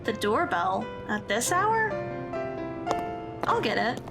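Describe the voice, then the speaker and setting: A woman's voice: on the high side, sing-song, slow, creaky, over loud music, heavily acted. A woman playing an awkward, earnest teenage schoolgirl in an audio drama, speaking hesitantly with rather formal wording. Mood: embarrassed, confiding.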